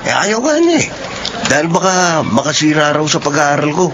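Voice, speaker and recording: A man's voice: a little high, very animated, normal speed. A man playing a concerned, friendly young buddy with a Filipino accent in a radio drama. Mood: relenting. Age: young adult. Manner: casual, cautioning. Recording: dull, noisy radio recording with ambience.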